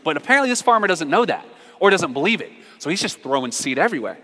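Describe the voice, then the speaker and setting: An American man preaching a conversational, humorous sermon full of storytelling, emphatic and animated, repeating phrases for effect. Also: on the high side, quick pace. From southern United States. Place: large reverberant room, live before a congregation. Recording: reverberant hall.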